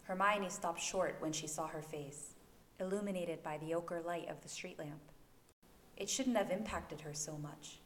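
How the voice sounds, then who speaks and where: Woman, slightly low pitch, dramatic story narration, amateur home recording, echoey room, light background noise. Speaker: fan fiction podfic narrator. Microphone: new home recording microphone.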